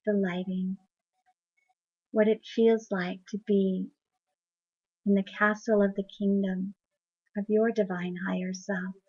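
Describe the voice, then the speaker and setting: A woman leading a guided meditation in a soft, soothing, unhurried voice. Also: mid-range voice. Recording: dull lo-fi recording.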